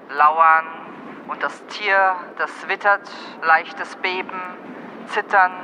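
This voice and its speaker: An actor playing a narrator in an audio drama, savouring the text word by word in drawn-out phrases. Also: male voice, sits high, sharp tone, a little hiss or hum, heavily acted, rough recording.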